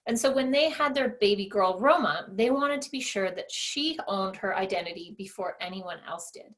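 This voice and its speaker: A woman's voice: medium pitch, lively intonation, normal speed, a bit bright. Enthusiastic woman giving a talk. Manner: enthusiastic, explanatory. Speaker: conference speaker. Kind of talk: presentation talk.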